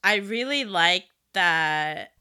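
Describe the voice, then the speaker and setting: Woman, Dominican accent, bright crisp voice, excited rambling chat. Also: lowish voice, takes it slowly. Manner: excited, rambling with many fillers. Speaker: podcast co-host. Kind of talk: casual chat about K-pop.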